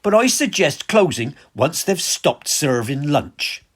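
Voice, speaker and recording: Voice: mid-range voice, very animated, slow. An amateur actor playing a fussy, exasperated village chairman with a dry comic manner in an audio play. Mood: businesslike. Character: fussy, exasperated village meeting chairman named Martin, penny-minded.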